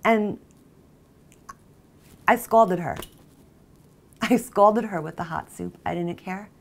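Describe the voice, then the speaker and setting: A woman playing a jilted, obsessive character who confesses in a halting, conversational dramatic monologue, with volatile emotion. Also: lowish voice, a bit bright. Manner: halting, emotionally volatile, mimics others' exclamations. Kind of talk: dramatic monologue, conversational first-person storytelling.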